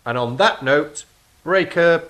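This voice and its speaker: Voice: mid-range voice, animated, slow pace, a bit bright. A performer playing a cynical, gloomy angel in an audio drama, dry and sardonic. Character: cynical, dry-witted angel Legna, gloomy about humankind.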